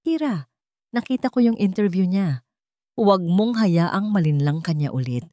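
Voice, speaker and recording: A worried, jealous lover played by a woman narrator speaks firmly in a romance tale. His voice is mellow and dry, insistent with concern. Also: on the low side, expressive, normal speed, dry recording, close to an everyday voice. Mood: firm warning. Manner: firm, insistent. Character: worried, jealous man warning the woman he loves.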